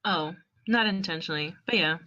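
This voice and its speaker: A woman voicing a dry, reserved, teasing young man with a deadpan delivery in a fan audio drama. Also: fairly low pitch, a little fast, lo-fi sound.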